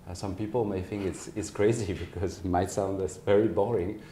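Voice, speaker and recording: A man in his forties with a French accent answers interview questions in a low, mellow voice. He phrases things carefully and hesitantly, and the room adds a noticeable echo. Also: fairly even intonation, brisk. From France. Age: 40s. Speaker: company managing partner, interview guest. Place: office, indoors. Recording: roomy echo, faint background noise.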